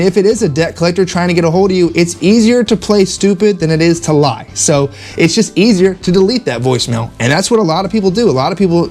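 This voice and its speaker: Higher-pitched man coaching over background music. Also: a little mellow. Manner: conversational, emphatic, repeats phrases for emphasis. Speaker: insurance agent giving sales tips. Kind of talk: casual instructional vlog monologue.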